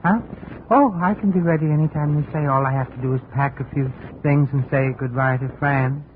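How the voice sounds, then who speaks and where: A tinny old radio drama recording with faint noise carries a man acting as an evasive fugitive. His voice sits fairly high for a man, and he speaks casually, with a wry, resigned hesitancy. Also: a little fast, natural voice.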